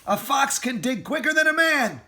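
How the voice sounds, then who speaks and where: A man's voice: high voice, moderate pace, creaky, pitched above the performer's own voice. A man voicing a male fox father, by turns gloomy, frightened and urgently determined. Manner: urgent, excited exclaiming.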